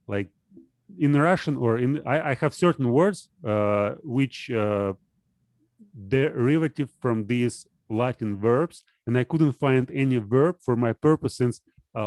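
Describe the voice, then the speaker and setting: A man, a poet-philosopher guest in a casual conversation, who talks haltingly, restarts often and searches aloud for words. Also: mid-range voice, darkish tone, dry recording.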